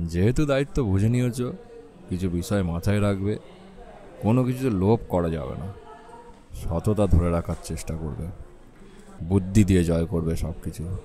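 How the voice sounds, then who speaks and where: Deep-voiced fatherly senior railway officer, played by a man. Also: mellow, lightly acted. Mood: fatherly, earnest. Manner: formal, measured, peppers speech with English. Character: senior railway officer, fatherly, courteous older male mentor. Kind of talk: dramatised audio story dialogue.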